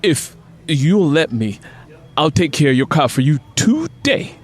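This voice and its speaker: A man's voice: on the high side, very animated, measured pace, slightly rough, voice pushed up, full character voice. A man voicing an eager, good-natured handyman in an audiobook, casual and obliging with slightly bashful, hesitant starts. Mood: eager, reassuring.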